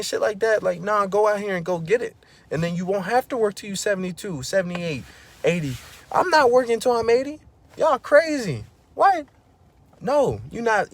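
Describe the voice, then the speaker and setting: Dude preaching motivation at the camera, slangy, keeps saying bro, kinda high voice, goes loud when hyped. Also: male voice. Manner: slangy, preachy, repeats "bro" and "you know what I'm saying". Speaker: vlogger. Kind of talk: casual motivational monologue.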